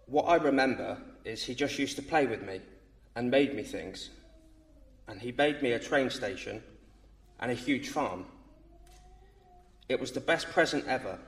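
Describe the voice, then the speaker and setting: A man's voice: mid-range voice, lively intonation, a little slow, pauses often, fairly bright tone, distant mic. A man in his thirties from England, nervously reading his baptism testimony aloud. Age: 30s. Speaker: baptism candidate. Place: church. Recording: roomy church sound from off mic.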